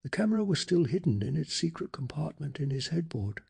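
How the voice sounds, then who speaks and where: A man softly narrating a bedtime story in a calm, hushed ASMR style, telling it with deliberate drama. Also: fairly high pitch. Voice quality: soft, breathy.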